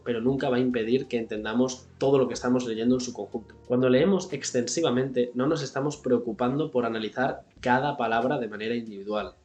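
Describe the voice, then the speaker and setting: A young man rattles through a language-learning lesson at a quick clip, speaking straight to the viewer. His voice sits at an ordinary middle pitch, and the room gives it a noticeable echo. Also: darkish tone. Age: 20s. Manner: brisk, instructive, addresses viewer directly. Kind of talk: scripted explainer to camera.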